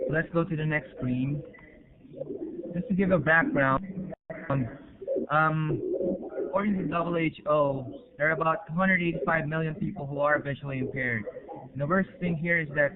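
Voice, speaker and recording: A man with a Filipino accent and a slightly high voice presents research in an informal, explanatory way. He speaks hesitantly and pauses to check that listeners follow. The sound is thin and narrow, as over an internet call. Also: very noisy. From Philippines.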